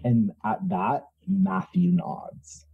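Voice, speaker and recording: A man playing a tabletop roleplaying game, chatting and narrating his character in a halting, self-correcting way. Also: on the low side, big pitch swings, creaky, husky. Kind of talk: casual chat and character narration. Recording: slightly dull, muffled audio.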